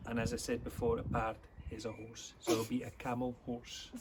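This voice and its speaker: Afrikaans-native man, friendly club leader, mid pitch, noisy call audio. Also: monotone. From South Africa. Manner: friendly, chatty, teaching words slowly. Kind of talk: informal online talk to children.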